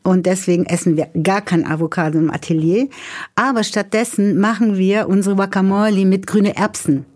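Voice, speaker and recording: A Brazilian woman speaks German with a clear Portuguese accent, chatting easily and lively about cooking. Her talk is full of fillers and restarts, relaxed and conversational, her pitch sitting in an ordinary middle range. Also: moderate pace. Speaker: guest chef. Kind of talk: casual podcast conversation.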